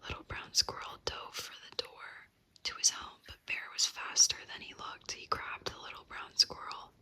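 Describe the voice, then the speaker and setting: A woman narrating an animal bedtime story in a soft, unvoiced whisper. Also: moderate pace.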